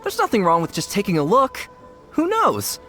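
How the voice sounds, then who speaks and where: A man's voice: high-pitched, wildly swooping pitch, moderate pace, bright, forceful tone, soft background music, full character voice. A man voicing a cautious young gang underling in a video game, with a wary, reasoning manner. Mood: wary, scheming.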